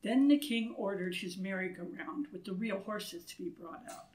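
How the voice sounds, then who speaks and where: A woman in her sixties reading a children's story aloud in an expressive, deliberate way, with asides to listeners, recorded indoors a few feet from the camera. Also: mid-range voice, poor audio. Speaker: storybook reader. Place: wood-panelled church room, indoors. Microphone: camera a few feet away.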